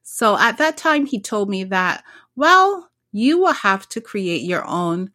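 A woman's voice: medium pitch, big pitch swings, slow, sharp tone, no room sound, studio quality. A middle-aged woman from Barbados, a small business founder, telling her story earnestly in a casual interview, often restarting her sentences.

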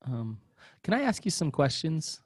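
A man's voice: on the high side, big pitch swings, measured pace, husky, no room sound, clean studio sound. A man co-hosting a podcast, asking probing questions and often restarting his sentences. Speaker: podcast co-host, interviewer. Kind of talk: podcast interview.

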